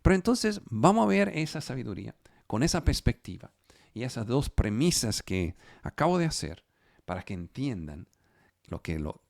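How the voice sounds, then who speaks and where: A man whose first language is not Spanish, teaching scripture slowly and deliberately, drawing out key numbers. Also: lowish voice, vocal fry, darkish tone. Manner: slow and deliberate, stretching key numbers, with restarts. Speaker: preacher. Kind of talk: Bible teaching.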